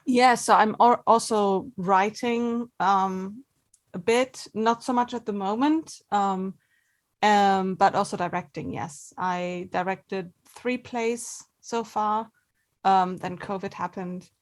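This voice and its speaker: A woman in a casual interview as an actor guest, talking conversationally in halting, hesitant phrases. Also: medium pitch.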